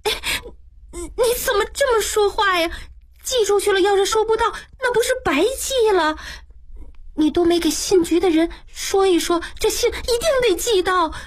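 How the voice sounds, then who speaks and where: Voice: extremely high pitch, moderate pace, pitched above the performer's own voice. A narrator voicing an earnest, fretful young maidservant in a plaintive way for an audiobook. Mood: fretful, scolding.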